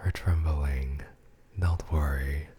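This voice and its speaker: A man playing a possessive, menacing, seductive mafia boss in an ASMR roleplay monologue, with a husky, breathy voice and a condescending, intimate murmur. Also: very deep voice, steady tone, slow pace, mellow, slight background noise.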